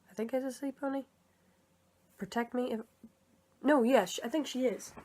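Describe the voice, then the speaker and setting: A woman's voice: high voice, moderate pace, a little mellow. Chatty young-sounding woman, a bit sick. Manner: chatty, rambling, self-correcting. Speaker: video creator and comic dub reader. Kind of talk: casual commentary vlog.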